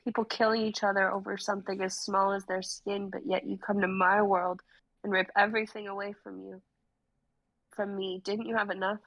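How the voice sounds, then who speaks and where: A woman acts a vengeful young woman turned foe. Her delivery is cold and measured, with a flat, even pitch, in a fantasy script-reading style. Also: low-quality recording. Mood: bitter. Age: young adult.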